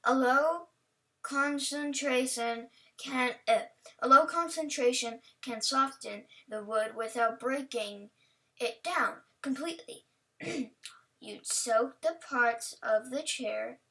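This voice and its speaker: Female reaction host: high, bright voice, playful casual rambling, recorded a little far from the mic. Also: takes it slowly. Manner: rambling, playful, talks to the on-screen character.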